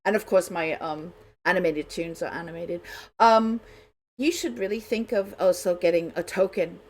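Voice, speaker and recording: A woman's voice: on the low side, moderate pace. A woman hosting a radio show in casual, unscripted chat, talking in a chatty, rambling way.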